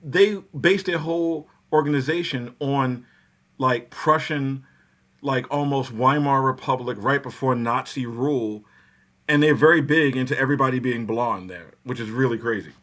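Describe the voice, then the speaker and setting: Man, mid-pitched, sharp bright voice, podcast co-host, casual fan chat. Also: normal speed.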